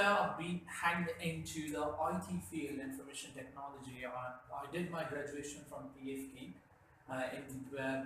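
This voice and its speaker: Brief: young Pakistani-accented man, interview guest, rambling, sharp tone, roomy echo. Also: a little high. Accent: Pakistani English. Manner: rambling, many fillers and restarts. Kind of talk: telling his life story. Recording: roomy echo, faint background noise.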